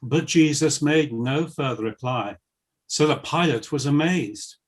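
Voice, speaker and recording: A man narrating scripture in a solemn, measured and formal reading style. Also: medium pitch.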